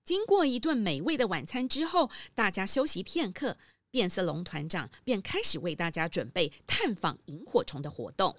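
A woman's voice: medium pitch, sing-song, normal speed, hardly any pauses, dry recording. A woman narrating a scripted children's mystery story as a storyteller. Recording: music under the narration.

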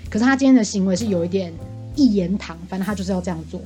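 A Taiwanese woman talks at a fast clip in a casual, animated storytelling style. She sounds talkative and exasperated, as if venting to a friend. Music plays behind her voice. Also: medium pitch. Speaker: podcast co-host venting as a bar customer.

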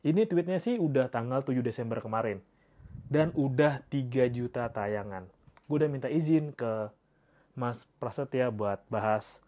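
A man talks in a relaxed, slangy way, like a solo podcast host talking straight to his listeners. His voice is stuffy and congested, as if he has a cold. He reads posts aloud and adds offhand comments of his own. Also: medium pitch, normal speed. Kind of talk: casual solo podcast talk.

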